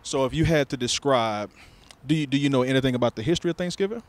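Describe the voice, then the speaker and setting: Fast-talking probing male street interviewer. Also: mid-range voice. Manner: earnest, probing.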